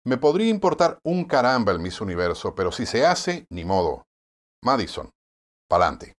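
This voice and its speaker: A man with a Puerto Rican accent reads a scripted year-in-review commentary. His pitch swings widely and his delivery is wry and pointed, with a sardonic edge. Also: very animated, moderate pace. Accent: Puerto Rican Spanish. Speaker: news commentary host. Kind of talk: scripted news commentary narration.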